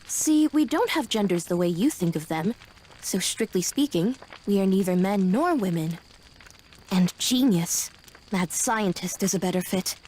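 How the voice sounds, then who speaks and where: A woman plays an ancient, courteous tree spirit in an anime game. The medium-pitched voice is formal and articulate, with slight background ambience. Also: lively intonation, measured pace, darkish tone, a little hiss or hum, lightly acted, low-quality recording. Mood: composed. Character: composed, courteous, centuries-old genderless tree-born being. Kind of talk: anime video game voice acting.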